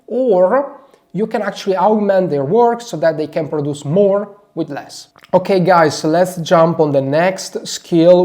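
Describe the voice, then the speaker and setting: A man from Italy with an Italian accent, a non-native English speaker giving an energetic, emphatic screen-share tutorial and leaning on "actually" a lot. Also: fairly high pitch. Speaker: marketing advisor.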